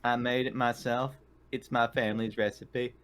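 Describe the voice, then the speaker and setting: Male tabletop player, average pitch, loose and jokey conversational roleplay. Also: little pitch movement, moderate pace, a bit bright. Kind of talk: casual joking roleplay chat.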